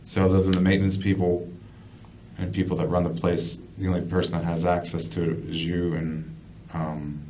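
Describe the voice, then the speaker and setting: A man's voice: low-pitched, level tone, normal speed, warm tone, far from the mic. A man working as a police detective, conducting an interrogation with blunt, persistent, repeated questions. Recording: muffled lo-fi interview recording, clear background noise.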